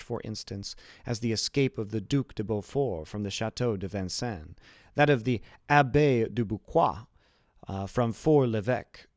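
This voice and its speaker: A man's voice: medium pitch, a little mellow, dry recording, lightly acted. Guy reading a wise old priest prisoner from a classic novel, formal n patient, explains things like a teacher, dry close sound. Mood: measured, explanatory. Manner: deliberate, didactic, formal. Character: learned, patient, principled old abbé imprisoned for years. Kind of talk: audiobook character reading.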